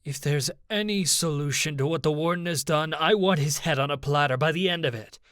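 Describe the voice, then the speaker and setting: A man's voice: fairly high pitch, a little fast, a bit bright, clean tone, dry recording, studio quality, voice pushed up, lightly acted. A man voicing a commanding, angry queen in a fantasy audiobook, imperious and bellowing. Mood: commanding fury.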